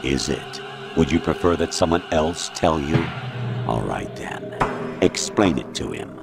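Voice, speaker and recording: A cold artificial ruler in a game cutscene, speaking with an extremely low, rumbling voice. He lectures slowly and condescendingly. Loud dramatic music plays behind him. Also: animated, vocal fry, full character voice. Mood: cold lecturing. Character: cold male machine mind lecturing, mature. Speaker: game character. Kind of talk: video game cutscene voice acting. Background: loud dramatic music score.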